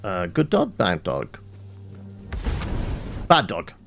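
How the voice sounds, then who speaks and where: A man's voice: sits low, wildly swooping pitch, very slow, vocal fry. A man giving casual, chatty let's play commentary, rambling as he thinks aloud, with music behind him. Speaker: game streamer, host.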